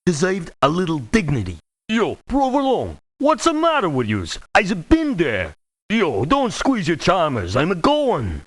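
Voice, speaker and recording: A man's voice: sits high, wildly swooping pitch, a little slow, fairly bright tone, heavily acted. A man voicing a brash, indignant mobster-like mercenary for a video game, with a New York Italian-American accent and slangy street talk. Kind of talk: video game character voice acting.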